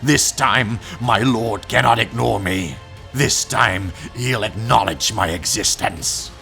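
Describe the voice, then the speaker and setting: Fanatical old male zealot, slow, gravelly, pompous, righteous, comic dub villain, music behind. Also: lowish voice, pushed and bright, heavily acted. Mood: menacing, proud. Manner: pompous, preaching, theatrical delivery. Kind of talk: dramatic comic dub acting.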